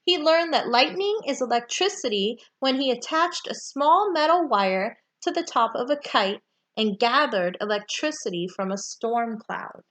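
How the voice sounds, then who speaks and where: A woman reading a children's nonfiction book aloud with deliberate, emphatic phrasing for young listeners. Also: fairly high pitch, expressive, fairly bright tone. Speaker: read-aloud storyteller.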